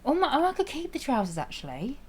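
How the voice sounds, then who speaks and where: A woman streaming a game, chatting casually with viewers and laughing often, her voice slightly raspy. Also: sits high, huge pitch swings, fast, a little hiss or hum. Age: adult with a school-age child. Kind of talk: casual live-stream chat while playing.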